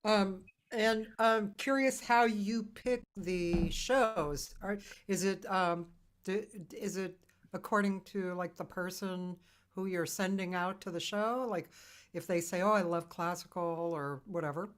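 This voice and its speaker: A woman's voice: mid-range voice, steady tone, measured pace. A woman hosting a casual interview chat, speaking in hesitant phrases that often trail off. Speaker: interview host, musician.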